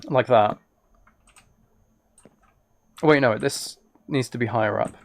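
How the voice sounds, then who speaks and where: Gravelly-edged man chatting on a livestream. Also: mid-range voice, slow. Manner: hesitant, thinking aloud in fragments. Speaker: live streamer. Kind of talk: casual livestream chat while playing a video game.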